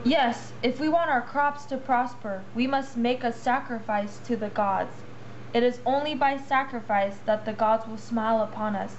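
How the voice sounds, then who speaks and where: A woman's voice: a little high, moderate pace, muffled recording, a little hiss or hum, natural voice. A woman voicing an earnest, dramatic vegetable character in an amateur puppet show. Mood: solemn. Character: zealous vegetable farmer and pleading young carrot.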